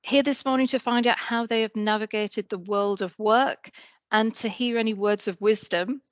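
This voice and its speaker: A woman moderating a panel on a video call, upbeat and hesitant with ums, her voice croaky. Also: fairly high pitch, fairly even intonation, moderate pace, dry recording.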